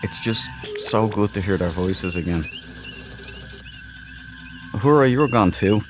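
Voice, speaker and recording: Low-voiced man chatting over game music. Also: expressive, a little slow. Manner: chatty, informal, rambling explanations. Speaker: gaming video host. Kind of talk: casual let's play commentary.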